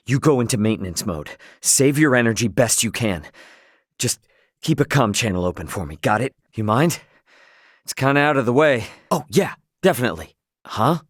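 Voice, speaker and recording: A man's voice: medium pitch, dry recording, studio quality, close to an everyday voice. An actor voicing a brash, loyal young male spaceship captain in a video game, with an American accent and a casual, cocky, emphatic way of talking. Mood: easygoing, friendly.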